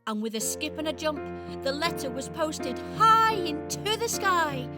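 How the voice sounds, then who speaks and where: High-voiced woman storyteller over music. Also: big pitch swings, a little slow. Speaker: storyteller in a radio play. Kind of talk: rhyming children's story narration. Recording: music behind the voice.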